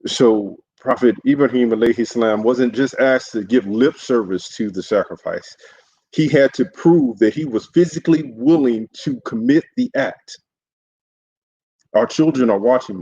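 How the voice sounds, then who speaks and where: A man, an imam, giving a livestreamed sermon in an earnest, halting way, with many fillers and restarts. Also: mid-range voice, darkish tone, breathy edge, muffled recording. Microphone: solo livestream setup.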